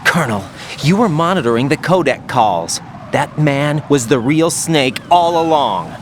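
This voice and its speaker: Young male game soldier: incredulous, insistent, swinging pitch, faint background noise. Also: animated, a little slow. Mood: agitated. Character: young male soldier, idealistic, questioning, agitated. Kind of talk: dramatic video game acting.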